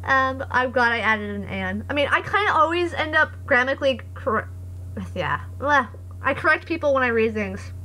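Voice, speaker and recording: A young woman streamer with a hoarse voice, chatting casually and reading comments aloud in a rambling, sarcastic way. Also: high voice, expressive, normal speed, pushed and bright, poor audio. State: hoarse after voice acting. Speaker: live streamer.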